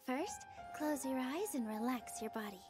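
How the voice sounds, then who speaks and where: A graceful young female dancer from an animated game. The voice is high, soft and gentle, encouraging in manner, over prominent music. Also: normal speed. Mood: serene, encouraging. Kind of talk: animated game trailer voice acting. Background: prominent trailer music.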